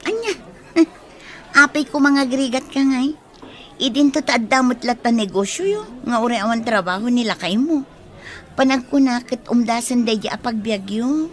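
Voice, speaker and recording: An actress playing a chatty, outspoken village friend in an Ilocano radio comedy drama, exclamatory and incredulous, with an Ilocano accent. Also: female voice, fairly high pitch, normal speed, close to an everyday voice. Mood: teasing, chatty.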